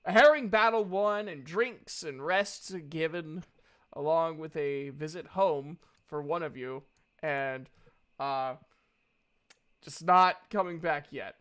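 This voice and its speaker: A woman's voice: low-pitched, slow. A woman running a tabletop game, narrating casually with slangy, joking asides. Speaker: game master.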